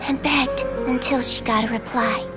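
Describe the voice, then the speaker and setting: Woman acting gentle girlish game creature, very high voice, polite, earnest, sweet, music behind. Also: fairly even intonation, moderate pace, close to an everyday voice. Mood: storytelling. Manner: polite, matter-of-fact, earnest.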